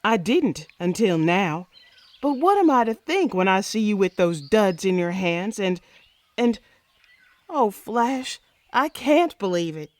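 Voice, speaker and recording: Female Wild West sheriff, played by an actress: mid-pitched, earnest, fair-minded, firm. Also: sing-song, slow, no echo. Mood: conflicted, hurt. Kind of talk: comic-book Western radio drama.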